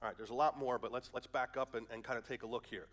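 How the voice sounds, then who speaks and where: A man's voice: mid-range voice, steady tone, fast, fairly bright tone. A male pastor preaching a conversational sermon through a head cold, asking his listeners questions as he reads and explains verses. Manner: conversational, asks the audience questions.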